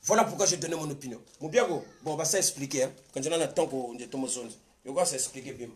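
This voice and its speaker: A man's voice: on the high side, quick pace. A man with a Congolese accent giving an impassioned political monologue, insistent and repetitive, switching between languages. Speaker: political commentator.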